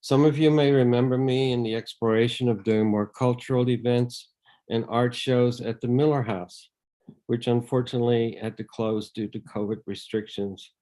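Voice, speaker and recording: An older man from the Netherlands, reading a prepared statement at public comment over a video call, measured, with frequent ums and uhs. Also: mid-range voice, fairly even intonation, mellow. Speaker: resident speaking at public comment.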